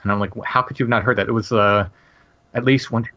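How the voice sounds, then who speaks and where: A man in his late forties, a podcast guest, telling a personal story casually in a halting way with many restarts and fillers. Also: on the low side, steady tone, bright, forceful tone, lo-fi sound.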